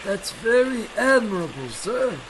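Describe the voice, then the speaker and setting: A man playing an eager, polite, excitable young airfield worker in old-time radio style. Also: sits high, measured pace, background noise. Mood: deferential. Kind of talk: 1940s radio acting.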